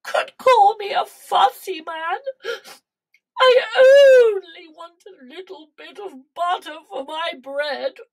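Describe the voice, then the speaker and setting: A woman's voice: very high-pitched, lively intonation, takes it slowly, far from the mic, voice pushed up, heavily acted, low-quality recording. A woman playing a fussy, childishly dramatic king in a children's verse story, with theatrical exclamations. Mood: whimpering, aggrieved.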